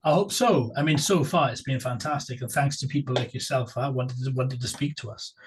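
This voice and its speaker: A husky-voiced man whose first language is Welsh talks through his band's plans in an easygoing interview. He is eager and upbeat, and often repeats himself with "yeah, yeah, yeah" and "absolutely.". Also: medium pitch, brisk. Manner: enthusiastic, repeats words, laughs easily. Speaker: musician guest. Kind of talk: casual interview chat.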